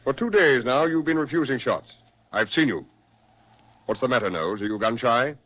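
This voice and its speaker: An actor playing a stern, insistent doctor who presses and commands in a 1940s radio drama style. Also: male voice, mid-range voice, expressive, moderate pace, lightly acted. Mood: brisk, pressing. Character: stern, insistent male doctor pushing his frightened patient. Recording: dull, lo-fi 1940s radio recording.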